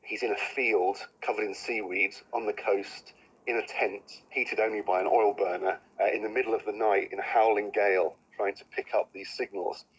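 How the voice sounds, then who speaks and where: Deep-voiced man explaining history. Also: fairly even intonation, normal speed, breathy edge, highs cut off. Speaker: expert guest explaining history. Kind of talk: informal explanatory talk.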